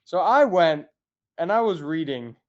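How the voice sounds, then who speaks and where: A man hosting a podcast, asking questions in an animated, enthusiastic way. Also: on the high side, measured pace, lo-fi sound. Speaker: podcast host. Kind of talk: casual interview chat.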